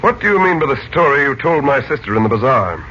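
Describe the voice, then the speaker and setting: An actor playing a steady, authoritative uncle who leads an expedition, in 1940s radio serial style. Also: male voice, mid-range voice, fast talker. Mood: commanding, tense. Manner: authoritative, probing. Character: steady, take-charge American uncle leading an expedition. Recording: muffled, noisy old radio recording.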